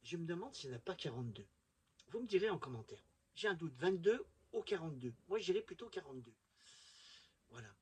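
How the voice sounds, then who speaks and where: A man's voice: fairly high pitch, big pitch swings, quick pace, breathy edge. A middle-aged man chatting enthusiastically and informally to viewers as he presents a hobby vlog. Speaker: video presenter showing a collection.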